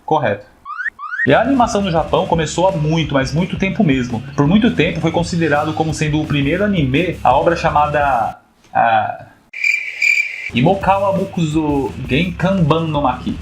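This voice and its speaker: A man's voice: fairly high pitch, expressive, normal speed, music in the background. A young Brazilian man with a Brazilian Portuguese accent, hosting a casual explainer video in a chatty way, dropping frequent tag questions. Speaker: YouTube host.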